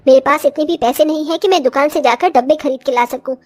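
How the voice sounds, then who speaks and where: A woman voicing a short older village mother-in-law in a cartoon story, complaining and exclamatory but grudgingly soft-hearted. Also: sits high, fast, lightly acted. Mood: worried. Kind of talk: Hindi cartoon story voice acting.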